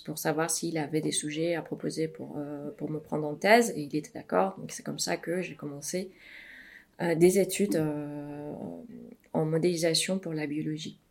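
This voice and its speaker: Brief: middle-aged woman from Iran, slightly low voice, thoughtful, halting answers full of fillers. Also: steady tone, creaky, a little mellow. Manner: many filler pauses, thoughtful. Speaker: research mathematician, interviewee. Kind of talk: hesitant interview answers.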